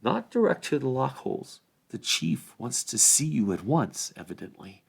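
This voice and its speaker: A man's voice: medium pitch, big pitch swings, moderate pace, creaky, breathy edge, pitched above the performer's own voice, heavily acted. A man voicing a reluctant small hobbit lawman with rustic phrasing and a pleading, confiding manner in a storybook reading. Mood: confiding, resigned.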